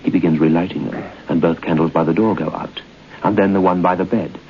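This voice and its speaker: An actor playing a grave, ominous narrator of a radio ghost story, speaking in a deliberate, suspenseful way. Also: male voice, low voice, fairly even intonation, lightly acted. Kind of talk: old-time radio horror narration. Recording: muffled, noisy old radio broadcast.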